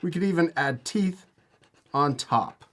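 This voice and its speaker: A man's voice: on the high side, unhurried, a bit bright. A man hosting a casual step-by-step art tutorial, giving encouraging, simple instructions for children. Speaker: host teaching drawing to children.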